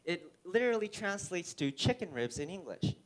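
A man's voice: high-pitched, big pitch swings, normal speed, poor audio. A teenage boy from South Korea, a native Korean speaker, giving a prepared talk on an auditorium stage through a headset mic, earnest and careful, sometimes correcting himself. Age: teens. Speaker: student speaker.

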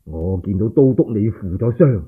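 A man acts a cautious military deputy with a Cantonese accent in a radio story. The voice drops low and husky, careful and deferential towards his superior. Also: lively intonation, moderate pace, phone-quality sound. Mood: apologetic, careful. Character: cautious, deferential male army deputy reporting to his commander.

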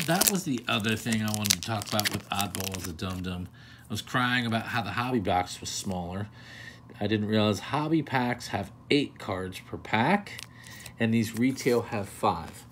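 A man's voice: lowish voice, heavy background noise. A man hosting a pack-opening video, talking casually with self-deprecating, rambling asides as he handles cards at a table. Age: older adult. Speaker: card collector channel host. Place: indoors at a table.

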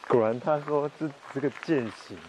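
A man's voice: medium pitch, brisk, a loud crowd. A young man with a Taiwanese Mandarin accent chatting casually as he films a travel vlog outdoors. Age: young adult. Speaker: travel vlogger. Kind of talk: casual chat. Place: outdoors at a forest waterfall pool. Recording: noisy outdoor handheld camera audio.

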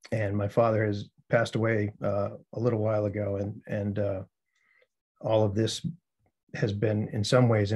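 An American man giving a poetry reading, speaking as the poet presenting his own work in deliberate phrases. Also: fairly low pitch, darkish tone. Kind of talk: poetry reading with spoken introductions.

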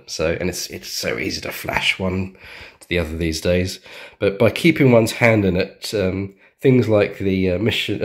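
A man casually walking through his model plane build at home, chatty with lots of ums and restarts. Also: fairly low pitch. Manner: chatty, many ums and restarts, self-deprecating. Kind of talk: casual show-and-tell walkthrough. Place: indoors at a home dining table.

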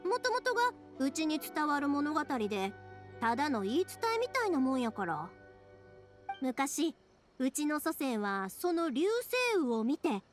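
A woman's voice: high voice, measured pace. A woman playing a cheerful, chatty young fireworks craftswoman with a Kansai accent in an anime game. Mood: earnest, hopeful. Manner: peppy, animated, talkative. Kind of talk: Japanese anime video game voice acting. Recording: background music behind dialogue. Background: game soundtrack music.

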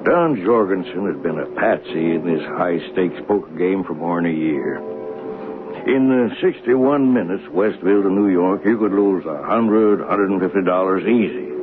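A man's voice: low voice, moderate pace, over music, lightly acted. An actor playing a folksy, chatty train conductor in his sixties in a radio drama, telling his story in a rambling, colloquial way. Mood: reminiscing. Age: 60s. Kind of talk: radio drama acting, first-person storytelling. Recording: noisy, phone-like 1970s radio recording.

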